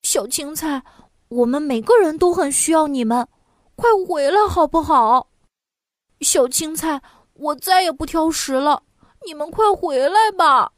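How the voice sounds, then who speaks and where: A woman voicing a small whiny child in a storybook, with a squeaky, drawn-out, wailing delivery. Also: no echo, clean studio sound. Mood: pleading. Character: whiny little child who hates vegetables. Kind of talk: storybook voice acting.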